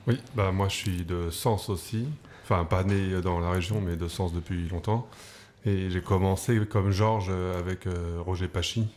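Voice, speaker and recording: Guest: soft-spoken man, very low voice, distant mic, faint background noise. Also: fairly even intonation, moderate pace. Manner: soft-spoken, short answers. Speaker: guest, coach. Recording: distant from the microphone.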